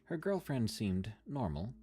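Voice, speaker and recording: A man reading a first-person horror story in a measured, somber scripted narration. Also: lowish voice, sing-song, mellow, husky.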